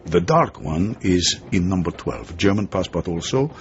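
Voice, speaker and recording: An actor playing a shrewd, genial middle-aged intelligence chief in a radio drama. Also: male voice, deep voice, lively intonation, normal speed. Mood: sly, confiding. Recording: dull lo-fi recording, background noise.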